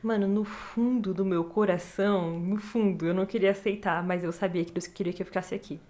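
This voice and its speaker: A young woman from Brazil, a podcast guest telling her story in relaxed casual chat with lots of fillers. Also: mid-range voice, brisk.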